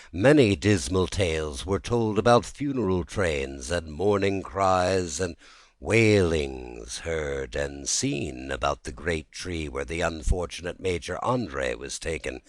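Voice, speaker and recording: A man with a low-pitched voice narrates a classic ghost story. His delivery is slow and measured, in the manner of a storyteller reading from a script. The recording is close and dry, with very little room sound. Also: no room sound. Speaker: audiobook narrator.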